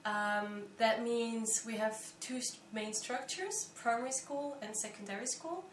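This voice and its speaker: Young woman, echoey room, measured. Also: on the high side, recorded from a distance. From Belgium. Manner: thoughtful, measured, with frequent pauses and fillers. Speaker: interviewee, volunteer. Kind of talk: explanatory interview answers. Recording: echoey room, faint background noise.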